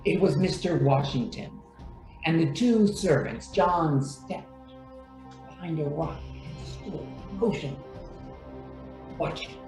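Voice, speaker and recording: Male narrator, radio drama, dark mellow tone, slow measured storytelling, roomy echo, music behind. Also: fairly high pitch. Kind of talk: scripted radio play narration.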